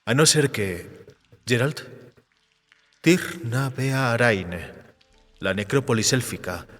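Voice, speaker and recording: A man voicing an urbane, cautious, learned male vampire in an audiobook drama, measured, erudite and resigned. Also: mid-range voice, fairly bright tone, soft background music. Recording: cave-like reverb.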